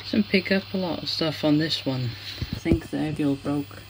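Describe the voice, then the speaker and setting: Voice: medium pitch, normal speed, vocal fry. Casual vlogger on a noisy home recording. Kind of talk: casual product demonstration.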